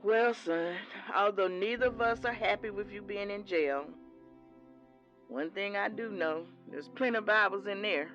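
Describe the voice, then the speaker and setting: An actress playing a devout mother who softly counsels her son, calling him baby. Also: mid-range voice, measured pace, dull audio, soft background music, natural voice. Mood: gentle. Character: devout church mother, older woman, gently firm. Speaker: mother of the lead. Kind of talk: audio drama acting.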